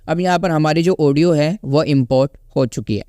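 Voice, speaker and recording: Higher-pitched man with a clear voice, teaching. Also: normal speed, dark tone, no room sound, clean studio sound. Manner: instructional, repeatedly checking in with listeners. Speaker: tutorial host. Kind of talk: casual step-by-step screen tutorial.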